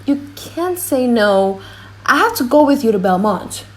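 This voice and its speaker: A woman voicing a brash, playful young gentleman with a bold, mock-solemn manner in an audiobook drama. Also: a little high, animated, unhurried, low-quality recording. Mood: pushy, excited.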